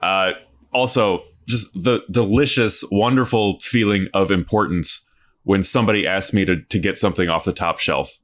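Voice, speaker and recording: Deep-voiced man boasting in deadpan. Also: normal speed. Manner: deadpan boastful with crude jokes. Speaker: podcast co-host. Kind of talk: comedic casual chat.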